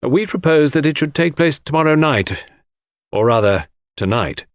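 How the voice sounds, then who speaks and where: Guy doing a pompous brisk local doctor, voice pitched up a bit, polite and officious, audiobook. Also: expressive, no room sound, clean studio sound. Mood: brisk, important. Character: self-important, brisk male local doctor. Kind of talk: dramatic audiobook mystery reading.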